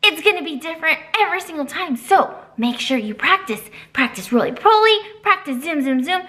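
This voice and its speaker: Lively high-voiced storytime librarian. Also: female voice, fairly quick. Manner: animated, exaggerated gasps and expressive gestures. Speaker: children's librarian. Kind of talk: children's storytime reading and singing. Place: small library room, indoors.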